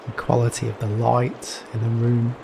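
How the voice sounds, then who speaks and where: A man leading a guided meditation in a gentle, soothing manner, leaving long pauses between phrases. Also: mid-range voice, vocal fry. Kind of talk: guided Yoga Nidra meditation. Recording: steady rain noise behind voice. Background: rain sounds.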